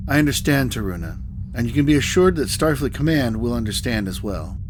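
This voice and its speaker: A calm starship captain acted by a man in a sci-fi radio play. He speaks at a medium pitch with measured authority, and there is clear ambient noise behind him. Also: close to an everyday voice. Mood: brisk, authoritative. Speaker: audio drama actor. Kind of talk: scripted sci-fi audio drama.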